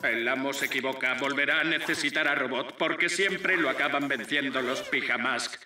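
A disloyal robot servant for a cartoon. The voice is extremely bright and edged, set in an echo with faint music behind. He grumbles about the master he serves. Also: medium pitch, moderate pace, far from the mic. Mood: grumbling. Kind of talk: cartoon dub acting.

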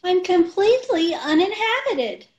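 A woman acts out a breezy, scatterbrained middle-aged wife from an old radio comedy. Her voice is high and very melodic, and she takes her time. She delivers illogical remarks with total earnestness. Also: very animated, muffled recording, full character voice. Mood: breezy, self-assured. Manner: earnest malapropisms, unhurried.

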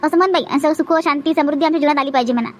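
A high-pitched, bossy village auntie acted by a woman from a comic cartoon, with a Vidarbha Marathi accent. She chatters quickly and nags, saying things over again, over faint background noise. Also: natural voice. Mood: instructing affectionately. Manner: chattering, nagging, repetitive. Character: bossy, chatty village aunt herding a family pilgrimage. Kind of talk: exaggerated comic cartoon dialogue.

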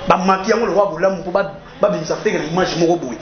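A man's voice: high voice, fast, crowd noise. A young man with a Congolese accent, arguing emphatically and repetitively in a casual interview. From Democratic Republic of the Congo. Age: young adult. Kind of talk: animated casual debate. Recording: dull, roomy sound, voices around.

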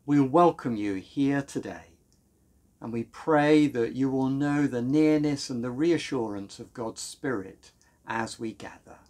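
A British minister in his fifties speaks to his congregation from a room at home. His pace is slow and his phrasing deliberate, with a mid-pitched voice and reverent delivery. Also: a little mellow. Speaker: church minister leading a service. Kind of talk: sermon and prayer, reverent delivery. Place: small room at home, indoors.

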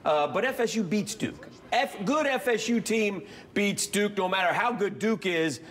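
A middle-aged man co-hosting a studio sports show, narrating highlights and agreeing often with his partner. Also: expressive, moderate pace, fairly bright tone. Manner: upbeat, agreeable, frequent "yeah". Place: TV news studio.

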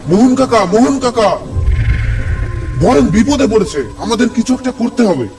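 Timid young village fisherman played by a man, high voice, anxious and pleading, obedient horror-comedy cartoon sidekick. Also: expressive, normal speed, darkish tone, soft background music. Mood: panicked. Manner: fearful, pleading.

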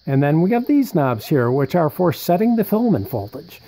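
A man's voice: a little high, very animated, moderate pace, mellow. A man giving a casual, unscripted show-and-tell as presenter, rambling conversationally and thinking aloud. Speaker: presenter showing vintage military radio equipment.

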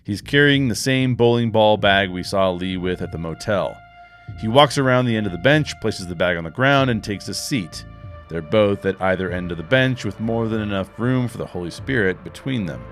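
A man in his forties reading screenplay action lines in a measured, wry way into a studio microphone in a home podcast studio. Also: on the low side, animated, faint music behind. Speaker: host and narrator. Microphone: studio microphone with headphones.

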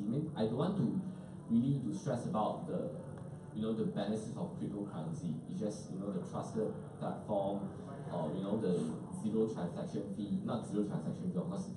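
A man with a fairly low voice talks informally on a panel, in a colloquial style full of "you know". He is heard from far off in a very echoey room with plenty of noise. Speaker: startup panelist. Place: large event room with dark wall, indoors. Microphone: handheld mic into PA, picked up by far camera. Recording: very echoey room, distant mic, loud noise.

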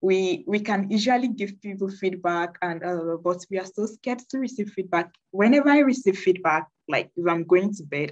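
Earnest woman advising over a dull video call. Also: lowish voice. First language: Yoruba. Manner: earnest, advising, repeats key points. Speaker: guest speaker. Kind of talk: webinar advice talk.

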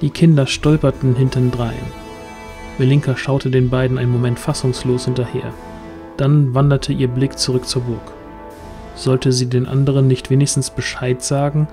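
A man's voice: medium pitch, level tone, moderate pace, warm tone. A man reading scripted narration as the storyteller of a fantasy audio drama. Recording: music underneath.

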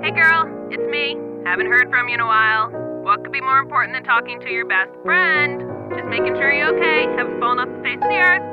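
Woman playing high-voiced, breezy best friend. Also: fairly quick, close to an everyday voice. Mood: breezy, checking in. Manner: breezy, informal. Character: chatty, caring young female best friend. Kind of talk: radio drama voicemail acting. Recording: muffled voicemail under loud music.

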